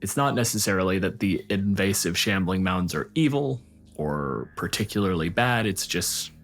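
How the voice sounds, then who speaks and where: A low male voice in a relaxed podcast-style conversation. He reasons things out with dry, argumentative delivery, with music underneath. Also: normal speed. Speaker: podcast co-host discussing tabletop game monsters. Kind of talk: casual panel discussion.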